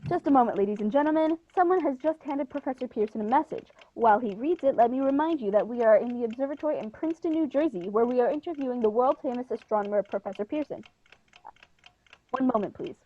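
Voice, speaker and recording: A woman's voice: on the high side, lively intonation, fast. A young woman playing an earnest male news reporter in a radio play. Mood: formal, inquisitive. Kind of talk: scripted radio play acting. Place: living room, video call. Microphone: earphones with inline mic. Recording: dull lo-fi video call audio.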